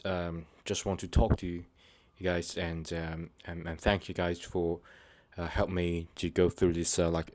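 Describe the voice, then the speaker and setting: A man hosting his own channel, talking to viewers unscripted in a halting, hesitant way, full of fillers and restarts, earnest and sincere. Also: low-pitched, no echo. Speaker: channel host. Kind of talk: unscripted personal talk to viewers.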